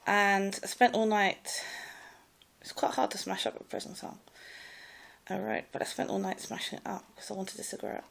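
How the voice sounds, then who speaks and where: A woman with a posh English public school accent and a slight clipped American edge, telling her own story in a loud, rambling way with many hesitations. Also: mid-range voice, flat delivery, normal speed, vocal fry. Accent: posh English public school with a slight American Ivy League clip. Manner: hesitant, many fillers and restarts. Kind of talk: rambling personal monologue.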